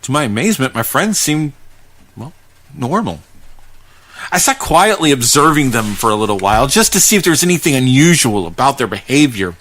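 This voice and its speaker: A man narrating a first-person creepypasta in a conversational, halting way, with dramatic pauses as he goes. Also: medium pitch, very animated, a bit bright. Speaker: podcast host reading a horror story.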